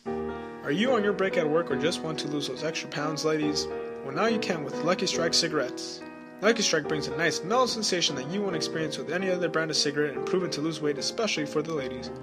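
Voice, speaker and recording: A man's voice: on the high side, fast. A man reading a scripted, upbeat 1930s-style radio commercial like a salesman. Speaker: ad announcer. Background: music.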